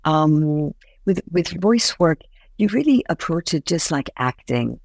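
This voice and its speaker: Low gravelly-voiced actress guest. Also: female voice, big pitch swings, a little slow, a little mellow, no room sound. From Iran. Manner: thoughtful, earnest, with many fillers and restarts. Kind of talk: interview answers.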